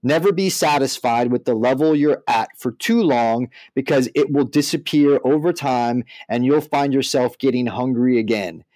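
A man's voice: medium pitch, darkish tone, no echo. A man hosting a podcast, speaking to listeners and reading out prepared material. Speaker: podcast host.

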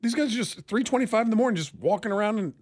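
An American man with a fairly high voice co-hosts a radio show. His pitch moves around animatedly as he banters casually. He tells anecdotes in a wry, rambling style. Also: expressive, fast, darkish tone.

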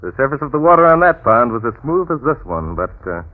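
A man's voice: medium pitch, sing-song, quick pace, lightly acted. A man acting in a 1940s radio drama as a genteel, composed amateur detective, urbane, precise and politely formal. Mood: amused, genial. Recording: muffled vintage 1940s radio recording.

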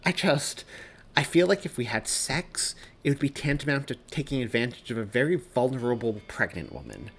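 A man's voice: medium pitch, big pitch swings, normal speed, fairly bright tone, slightly rough, exaggerated voice. A man voicing an exasperated, defensive young man with a sarcastic edge in dramatized audiobook dialogue.

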